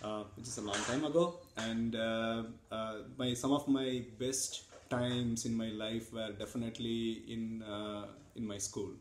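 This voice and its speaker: A man from India, a father answering his child's interview questions in a relaxed, thoughtful way with many pauses and fillers. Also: mid-range voice, roomy sound, a little hiss or hum. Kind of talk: casual family interview answers.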